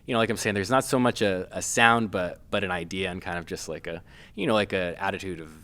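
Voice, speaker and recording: A man from Massachusetts, a filmmaker guest, talking casually with many pauses, fillers and restarts. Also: sits low, fairly even intonation, fairly bright tone. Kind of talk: casual interview.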